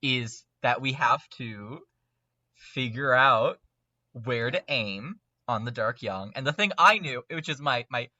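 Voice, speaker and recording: A man chatting casually and excitably as a fan on a podcast, with an emphatic, animated manner. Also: medium pitch, no room sound, muffled recording. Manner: animated, emphatic, quick to laugh. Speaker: podcast panelist.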